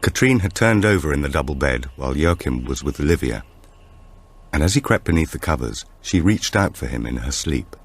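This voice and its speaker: Very deep-voiced man narrating dramatically. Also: animated, slightly rough. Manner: measured, dramatic storytelling. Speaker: audiobook narrator. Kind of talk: scripted thriller narration.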